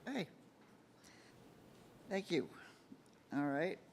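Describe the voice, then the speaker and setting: A man's voice: a little high, big pitch swings, very slow, a little hiss or hum. Casual male moderator. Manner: short, informal prompts. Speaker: forum moderator. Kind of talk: moderating, brief.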